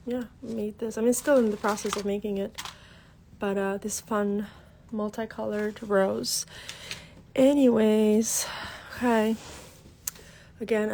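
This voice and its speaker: A woman's voice: medium pitch, darkish tone, a little hiss or hum. A woman with a cold, sounding congested, hosting a casual craft livestream from her desk, chatty and full of fillers as she greets viewers. Her first language is Albanian. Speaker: craft tutorial host.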